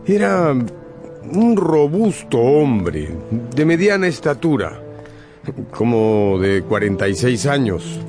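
A man's voice: medium pitch, very animated, takes it slowly, music in the background. A man narrating a radio drama in a scripted, dramatic storytelling style.

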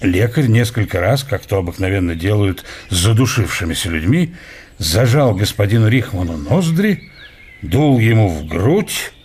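An actor playing a brooding middle-aged Russian scientist of the eighteenth century in a radio drama, reflective and declamatory, with archaic, bookish diction. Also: male voice, on the low side, very animated, moderate pace, a little mellow, slight background noise. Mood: grave reading.

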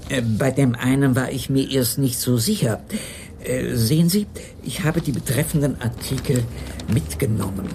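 A man acting a timid porter and secretary with a medium-pitched voice. He is formal and polite, hesitant and careful with every phrase. The radio play has clear background noise around him. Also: normal speed, natural voice. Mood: hesitant, hushed. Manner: hesitant, polite, formal, breaking into hushed tones. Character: timid, fussy male nursing-home porter and secretary. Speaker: actor in a crime radio play. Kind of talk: 1980s German crime radio drama acting. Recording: studio radio play with noisy background ambience.

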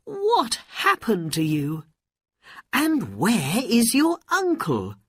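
Woman voicing a fretful, higher-pitched storybook mother. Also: wildly swooping pitch, drawn-out words, husky, no echo, clean studio sound, full character voice. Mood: alarmed. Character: Aladdin's poor, fretful, caring mother. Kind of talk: storybook character voice.

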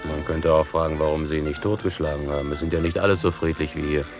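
Radio play support: practical jungle expedition man, fast, low voice, matter-of-fact. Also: steady tone, over music. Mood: brisk, pragmatic. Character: brisk, practical male jungle expedition member. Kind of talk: German radio play acting.

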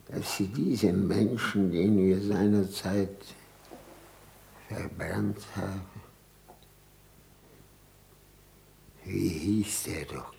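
An actor playing a very old, indignant, grandiose cardinal in a 1960s German radio play, declaiming pompously and haltingly. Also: male voice, lowish voice, gravelly, dark tone, full character voice. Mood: accusing. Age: older. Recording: roomy echoing 1960s radio studio.

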